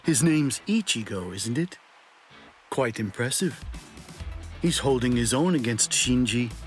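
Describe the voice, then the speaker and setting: Polite gentle giant, voiced by a man, mid voice, game dub. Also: mid-range voice, a little slow, faint music behind, lightly acted. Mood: calm. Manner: calm, polite. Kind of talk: video game cutscene dub.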